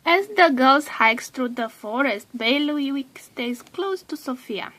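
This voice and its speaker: A woman narrating a children's storybook aloud in a deliberate way, drawing out some words. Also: high-pitched, animated, a bit bright.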